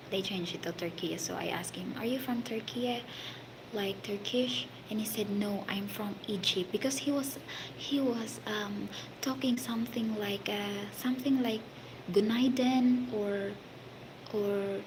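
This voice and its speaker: A woman with a Filipino accent recounts a story at a slow, unhurried pace, stopping often on fillers and sometimes sinking into a half-whisper. Steady background noise sits clearly under her voice in this casual recording. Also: medium pitch. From Philippines. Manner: halting, many fillers, partly whispered. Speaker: hospitality worker telling a story. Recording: noisy background.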